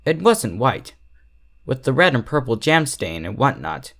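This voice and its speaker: A man's voice: mid-range voice, sing-song, a little slow, vocal fry. A man reading a story aloud as scripted narration. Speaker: fan fiction reader.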